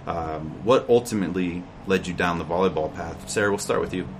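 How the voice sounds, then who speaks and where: A man's voice: sits low, brisk, a little hiss or hum. A man hosting a college sports podcast, asking prepared questions in a friendly way. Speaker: podcast host. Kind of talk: sports interview.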